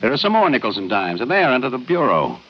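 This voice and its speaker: An American actor playing a confident young homicide inspector in an old-time radio detective drama, authoritative and deliberate. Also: male voice, medium pitch, big pitch swings. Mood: assured, deductive. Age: young adult. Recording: dull vintage radio recording.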